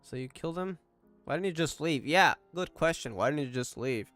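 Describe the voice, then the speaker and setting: A man's voice: a little high, lively intonation, fairly quick, a bit bright, dry recording, soft background music. A man giving casual reaction commentary while streaming a video game. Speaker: gameplay streamer.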